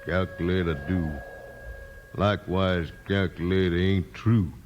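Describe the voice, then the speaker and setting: A man's voice: deep voice, steady tone, lo-fi sound. A man playing a proud, laconic mountain-man leader with a rural American hillbilly drawl in a 1950s radio Western. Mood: dry, laconic. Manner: terse, slow-talking backwoods drawl. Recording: noisy 1950s radio recording.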